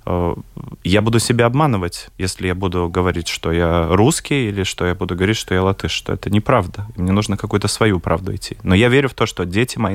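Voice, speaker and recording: A middle-aged man from Latvia, a film director speaking as a guest in a panel discussion, pausing often with fillers as he searches for words. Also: deep voice, no echo.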